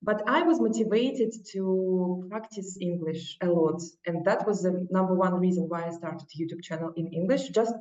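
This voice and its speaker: A woman's voice: lowish voice, moderate pace, hardly any pauses, a little mellow, highs cut off. Russian-accented woman, distant echoey sound. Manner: earnest, encouraging, with restarts. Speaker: guest. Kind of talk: casual interview talk.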